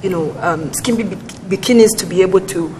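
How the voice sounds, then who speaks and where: A woman's voice: fairly low pitch, fairly quick, mellow, a little hiss or hum. A young woman speaking earnestly as a guest on a talk panel, arguing a point. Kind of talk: panel discussion.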